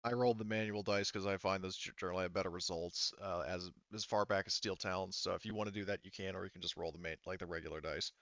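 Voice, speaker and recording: A man casually running a tabletop roleplaying session as game master, halting and thinking aloud as he goes. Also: deep voice, steady tone, a bit bright, no echo, muffled recording.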